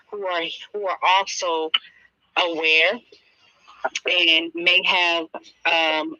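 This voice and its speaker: A panelist speaking in a measured, deliberate and diplomatic way in a live discussion. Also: medium pitch, bright, forceful tone, dry recording, low-quality recording.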